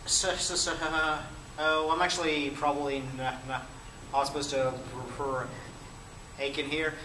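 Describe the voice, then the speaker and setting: A man's voice: a little high, little pitch movement, unhurried. A man moderating a panel asks a question and stutters often on his words. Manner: stutters with many repeated sounds. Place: lecture hall. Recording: echoey hall from far off, clear noise.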